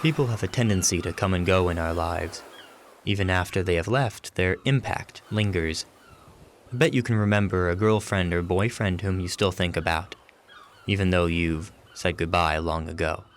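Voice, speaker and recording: Male radio anthology host, very low pitch, scripted storytelling narration over ambient background noise.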